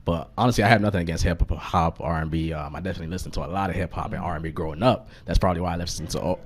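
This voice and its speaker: A man's voice: low voice, fairly even intonation, rapid-fire. A man from Cameroon chatting casually as a podcast guest, in laid-back slang with repeated filler phrases. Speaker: podcast guest, MC and event host. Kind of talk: casual interview chat.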